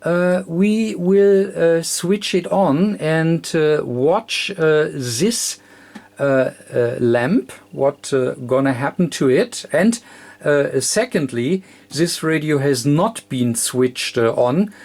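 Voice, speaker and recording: Slow, halting man presenting an electronics tutorial. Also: on the high side, a little mellow. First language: not English. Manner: halting, hesitant, fragmented phrasing. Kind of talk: unscripted hands-on demonstration.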